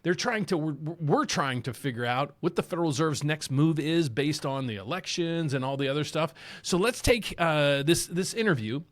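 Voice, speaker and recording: A man's voice: on the high side, expressive, normal speed. A man with a Southern accent hosting a mortgage channel, talking casually through market charts and repeating himself as he goes. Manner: rambling, repeats words, talks through charts on screen.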